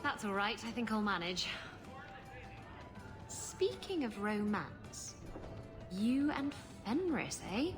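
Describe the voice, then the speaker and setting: Slow-talking flirtatious bawdy rogue. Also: female voice, mid-range voice, very animated, music in the background. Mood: sly, flirtatious. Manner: teasing, drawn-out, suggestive.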